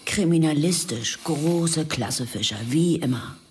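Radio crime drama: skeptical female colleague, low register, dry and sardonic, slight noise behind. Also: measured pace. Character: skeptical, sardonic woman challenging her colleague.

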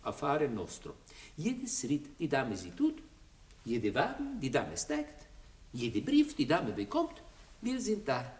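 A fawning Italian schemer. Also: male voice, on the high side, wildly swooping pitch, slow, a little mellow, reverberant, far from the mic, exaggerated voice. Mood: wheedling. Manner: broken, fawning, whispering offers of service. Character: scheming Italian male intriguer, fawning spy for hire. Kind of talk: 1960s radio drama acting.

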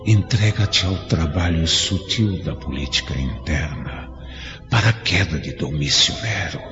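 An actor playing the serene spirit of a departed Roman friend in a radio drama, exhorting solemnly in Portuguese. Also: male voice, extremely low pitch, exaggerated voice. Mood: grave, urging. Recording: dull lo-fi radio drama recording. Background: faint background music.

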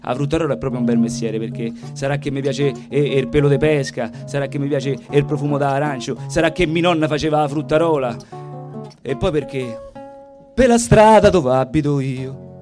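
A man's voice: mid-range voice, normal speed. A man with a Roman accent delivering a theatrical, comic stage monologue in a colloquial, rhythmic storytelling way. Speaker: stage performer, singer. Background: plucked guitar music.

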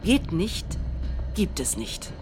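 A woman acting in a radio play, reciting a politician's speech in a formal, measured manner. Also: lowish voice, big pitch swings, a little mellow, soft background music. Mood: formal, courteous. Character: formal politician welcoming allies at a rally.

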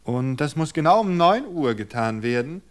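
A man's voice: on the high side, big pitch swings, normal speed, pitched above the performer's own voice. A man reading aloud and voicing a young wife who teases her husband in a lifted voice. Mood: teasing. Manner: teasing, raised voice. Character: young wife, teasing and anxious woman.

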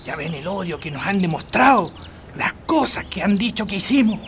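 A man's voice: sits high, animated, normal speed, fairly bright tone, slight background noise. A man acting a grave, resigned union leader held prisoner in a radio drama. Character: grave imprisoned union leader among comrades.

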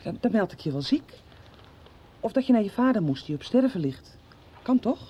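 A woman's voice: mid-range voice, big pitch swings, moderate pace, breathy edge. An actress playing an earnest young woman who speaks formally and takes charge to protect her friends in a radio soap. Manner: earnest, formal, taking charge and making plans. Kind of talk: 1990s radio soap acting. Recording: dull lo-fi radio recording with faint street noise.

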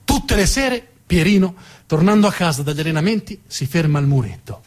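A man narrating a theatrical monologue with expressive, dramatic storytelling. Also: on the high side, fairly quick, soft background music. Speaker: narrator and actor.

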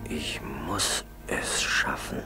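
An actor playing a feverish, wounded pilot who talks to himself in halting, gasping fragments in a 1960s German radio play. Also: male voice, in a whisper, over loud music, close to an everyday voice. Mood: strained, desperate. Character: wounded, exhausted, feverish downed pilot struggling through snowy forest. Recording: hissy, noisy 1960s tape recording.

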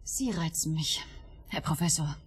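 A woman's voice: low-pitched, unhurried, slightly rough, heavily acted. An actress playing a guarded, indignant woman in a German radio drama, cool, cutting and defensive.

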